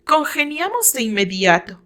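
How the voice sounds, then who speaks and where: A woman's voice: high-pitched, very animated, moderate pace, pushed and bright, pitched above the performer's own voice, heavily acted. A woman voicing a sly, honey-sweet woman who feigns innocence in a dramatized story, coy and careful. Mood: coy lying.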